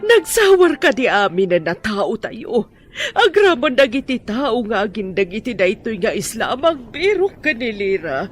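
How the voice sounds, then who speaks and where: A woman's voice: a little high, very animated, unhurried. An actress playing an anguished, demanding mother in an Ilocano radio drama.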